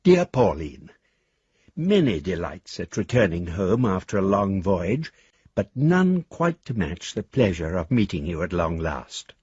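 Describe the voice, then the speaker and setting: An actor playing a courteous, shy, rambling English gentleman reading his letter in a radio drama, formal and gently whimsical. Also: low voice, sing-song, a little slow, slightly rough, no echo, dull audio, heavily acted. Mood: warmly bashful.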